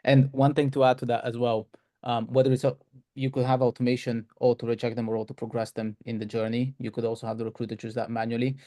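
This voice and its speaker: A man with a mellow, relaxed voice of medium pitch hosts a live webinar Q&A. He explains things conversationally, as if talking to colleagues. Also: fast. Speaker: webinar host fielding questions.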